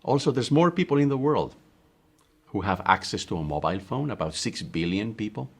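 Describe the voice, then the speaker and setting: A middle-aged professor from Spain lectures with a Spanish accent. His voice sits very low. He speaks in a measured, emphatic way and poses questions to his students. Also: lively intonation. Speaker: university professor. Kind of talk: recorded online course lecture.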